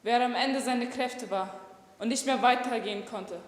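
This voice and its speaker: A woman's voice: on the high side, moderate pace, lightly acted. A young woman voicing a young Ukrainian male prisoner of war in a grave testimony reading with stressed peaks. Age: young adult. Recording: echoey hall recording.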